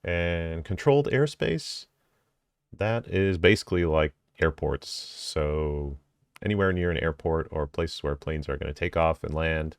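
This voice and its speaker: Very deep gravelly man, dry sound. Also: a little slow, no echo. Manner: thinking aloud, many ums and self-corrections. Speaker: drone hobbyist explaining rules. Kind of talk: casual explainer video.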